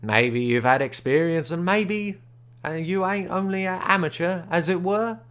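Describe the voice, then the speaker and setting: A man's voice: high-pitched, a little slow, a bit bright, clear, resonant tone, pitched above the performer's own voice. A man voicing a boastful, easygoing frontier gambler who talks with a folksy drawl and backwoods grammar. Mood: easy, bragging.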